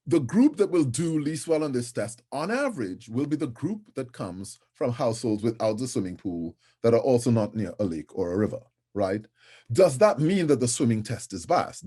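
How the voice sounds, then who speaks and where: A middle-aged man from Trinidad and Tobago giving a conference lecture in a conversational way, often checking in with "right?" and filling pauses with "um". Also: medium pitch, warm tone, husky. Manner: conversational, with frequent tag "right?" and fillers.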